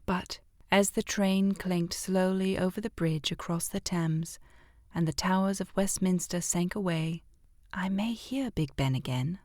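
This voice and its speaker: A woman reading literary prose as an audiobook narrator, in a measured, expressive way. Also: on the low side, no room sound, clean studio sound.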